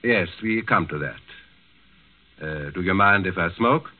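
Imperious Nazi governor, old radio drama. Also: male voice, sits low, animated, a little slow, phone-quality sound. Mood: formally polite. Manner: clipped, officious, condescending. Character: imperious Nazi German district governor, suave and menacing. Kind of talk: 1940s radio acting. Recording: band-limited old 1940s radio recording.

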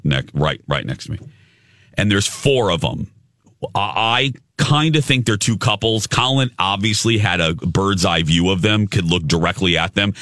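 A male radio host telling a story in casual chat, with a loud, big voice and a habit of cussing. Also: low-pitched, no echo, studio quality. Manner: swears casually.

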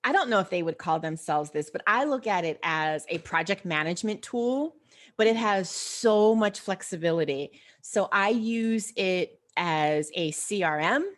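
A woman with an American accent chatting casually as an interview guest, animated and explaining things step by step with rhetorical questions. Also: fairly low pitch, measured pace. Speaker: interview guest, personal finance expert.

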